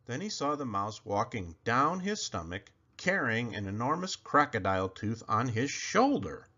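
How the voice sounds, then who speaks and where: A man reading a children's picture book aloud in an expressive, animated storytelling manner. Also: medium pitch, moderate pace. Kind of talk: children's read-aloud narration. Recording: slightly muffled recording.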